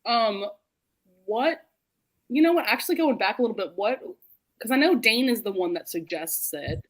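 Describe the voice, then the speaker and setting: A woman hosting a book discussion in a casual, animated way, full of "like" and quick to laugh. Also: fairly high pitch, rough recording. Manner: animated, laughs readily while talking; informal, heavy use of "like". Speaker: host of a book readalong live show. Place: remote group video call.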